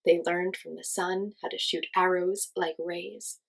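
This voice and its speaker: A woman reading a children's story aloud as host, in an expressive storytelling style. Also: lowish voice, measured pace, creaky, rough recording.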